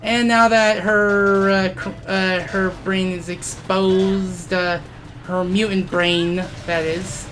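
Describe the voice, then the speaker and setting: A woman's voice: medium pitch, little pitch movement, fairly bright tone. A woman giving casual video game commentary, hesitating often and correcting herself as she goes. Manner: halting, self-correcting, frequent hesitations. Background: game music.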